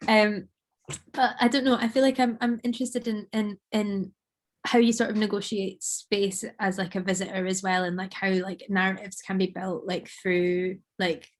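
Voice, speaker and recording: A woman artist talking casually about her work, rambling with many fillers and a slightly croaky voice. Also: medium pitch, little pitch movement, moderate pace. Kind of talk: casual conversation.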